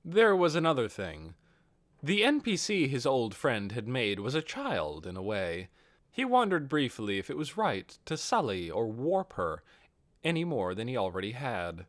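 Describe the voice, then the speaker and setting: Male narrator for a fantasy novel. Expressive, animated delivery at mid pitch, recorded dry. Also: normal speed, no room sound. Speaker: audiobook reader.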